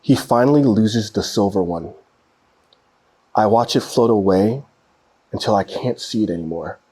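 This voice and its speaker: A man reading a children's picture book aloud in an expressive storytelling style. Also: lowish voice, normal speed, slightly rough.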